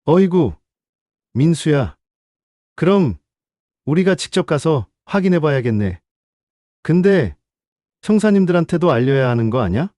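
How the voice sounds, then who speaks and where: A man's voice: a little high, big pitch swings, a little slow, long pauses, warm tone, dry recording, studio quality. A man playing a frank, impatient uncle in an audio drama. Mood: earnest.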